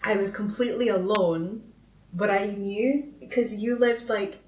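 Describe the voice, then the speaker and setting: A woman's voice: medium pitch, measured pace. A woman co-hosting a podcast in casual, sweary, giggly chat, recounting personal stories. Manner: informal, sweary, often giggly. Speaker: podcast co-host. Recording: echoey room, slightly dull sound.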